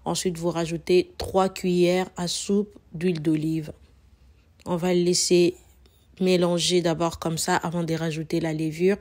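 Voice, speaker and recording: A woman with a cold narrates her home cooking, her voice hoarse and fairly low. She speaks in a flat, even tone, chatty and loose, repeating words and dropping in fillers as she works. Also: measured pace. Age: adult mother. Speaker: cooking channel host. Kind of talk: casual cooking tutorial narration.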